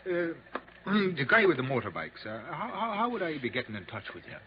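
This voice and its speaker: An actor playing a hard-boiled but wry, worried police detective with slangy talk in a 1950s radio crime drama. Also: male voice, fairly high pitch, very animated, normal speed. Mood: sheepish. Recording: dull, noisy 1950s radio recording.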